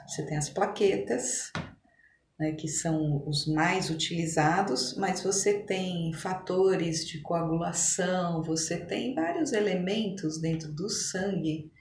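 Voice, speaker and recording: A middle-aged woman talks conversationally as an interview guest, explaining things with pauses to search for words. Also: fairly low pitch, darkish tone. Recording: echoey room, clear background noise.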